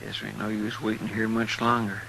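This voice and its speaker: A man's voice: on the low side, little pitch movement, brisk, gravelly, sharp tone. A man acting a loyal, anxious deputy sidekick in a 1950s radio western, with a rural Western drawl and deferential manner. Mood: worried, loyal. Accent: rural American West. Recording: noisy, humming 1950s radio recording.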